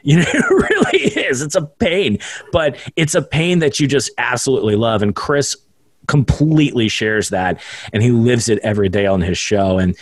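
A young man who works in radio talks as a podcast guest, rambling happily with jokey, self-deprecating asides. His voice sits at an ordinary pitch for a man and is recorded dry and close, with no room sound. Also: sing-song, moderate pace, dry recording. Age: young adult. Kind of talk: casual podcast interview chat.

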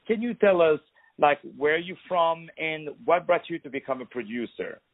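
A man from France hosts a radio interview in a mid-pitched voice, heaping praise on his guest. The sound is thin and tinny, like a phone line. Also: animated, moderate pace. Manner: effusive, full of praise. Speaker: radio host.

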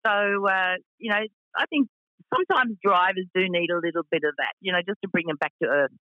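A woman with a deep voice who owns a racing team, talking frankly with lots of fillers in a radio interview. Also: fairly quick, no echo, dull audio. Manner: frank, chatty, many fillers.

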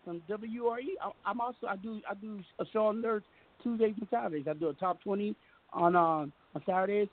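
A man hosting a call-in talk radio show, talking fast and joking, often cutting in over his caller. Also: sits high. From US West Coast. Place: home studio in the Southwest. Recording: phone-line audio with faint hiss.

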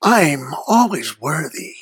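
A performer voicing a sly, haughty lord of darkness in a comedy interview, with pompous, deliberate, theatrical delivery. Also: medium pitch, very animated, slightly rough, no echo, full character voice. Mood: self-assured. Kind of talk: mock interview in character, audio comedy.

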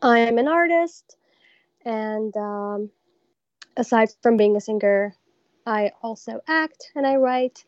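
A young woman from Iran talks slowly and thoughtfully, leaving pauses and fillers as she answers. Her voice sits a little high. The sound is dry and a bit muffled, like a remote call. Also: no echo. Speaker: lead vocalist of a metal band. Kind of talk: casual interview answers.

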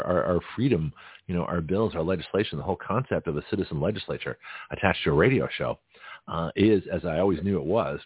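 A man with a very low, gravelly and husky voice hosts a talk radio show, rambling fast and chatty while slightly hoarse from a cold. The sound is dry and narrow, like a phone line. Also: no room sound. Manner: rambling, chatty, self-interrupting. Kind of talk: casual talk radio monologue.

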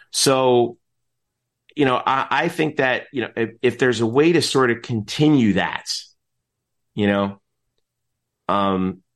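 A middle-aged man talking casually as an interview guest, explaining in a rambling way and often interrupting himself mid-thought. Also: mid-range voice. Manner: rambling, animated explaining with frequent self-interruptions. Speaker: interview guest, announcer and teacher.

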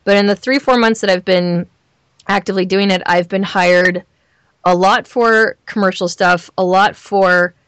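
A woman with a Southern California accent talking candidly in an interview as a voice actor, emphatic and expressive in how she tells things. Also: medium pitch, normal speed, smooth, no echo. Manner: animated storyteller, emphatic repetition, acts out imagined lines. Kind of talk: candid, chatty interview talk.

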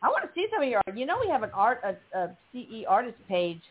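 A woman's voice: fairly high pitch, sing-song, fast, slightly rough. A woman hosting a call-in radio show, upbeat and encouraging with callers. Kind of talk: casual call-in radio talk. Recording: phone-like radio line.

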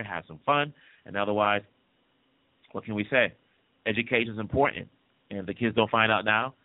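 A man from the Congo hosting a casual news commentary show, talking quickly and conversationally, often repeating himself as he thinks. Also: fairly low pitch, no echo. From Democratic Republic of the Congo. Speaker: podcast news host. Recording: tinny phone-like line.

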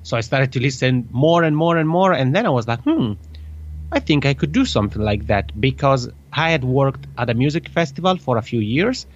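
A man's voice: mid-range voice, expressive, dull audio. A man with an Italian accent, a native Italian speaker in his thirties, chatting cheerfully as a podcast guest. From Italy. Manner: cheerful, chatty, quick with laughs. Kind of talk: casual interview chat.

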